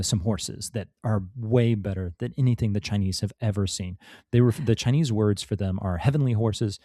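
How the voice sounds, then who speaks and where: A man's voice: lowish voice, mellow, dry recording, studio quality. A man, a podcast guest and author, telling a history story in casual talk, with many fillers and restarts. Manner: rambling, many fillers and restarts, laughs now and then.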